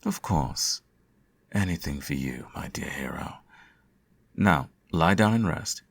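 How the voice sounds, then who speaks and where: A man playing a teasing, doting supervillain in an intimate ASMR roleplay, suave and coaxing, with a gravelly, husky voice. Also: a bass voice, lively intonation, takes it slowly, heavily acted. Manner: suave, theatrical, coaxing, uses pet names. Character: teasing, doting male supervillain nursing his hero nemesis.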